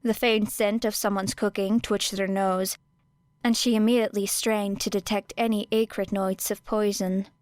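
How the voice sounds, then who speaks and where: A woman's voice: medium pitch, no echo. Woman narrating in a dry close voice. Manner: measured, even storytelling. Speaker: podfic narrator. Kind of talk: audiobook narration of fan fiction.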